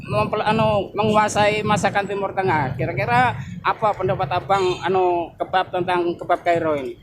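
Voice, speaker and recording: A chatty man with a high, bright voice, interviewing a vendor at an outdoor market. He keeps tagging his questions for agreement. Traffic and street noise run clearly behind him. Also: steady tone, moderate pace. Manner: chatty, repeats tag questions. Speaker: YouTube street reporter.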